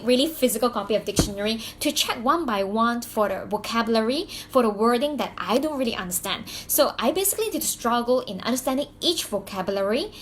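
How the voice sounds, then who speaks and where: A woman from Malaysia speaks with a Malaysian accent in a fairly high voice, her first language being Mandarin. She tells a personal story in a loose, chatty way, full of "um"s and restarts, with a faint hiss of noise behind her. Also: a bit bright. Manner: chatty, many fillers and restarts. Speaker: vlogger and coach.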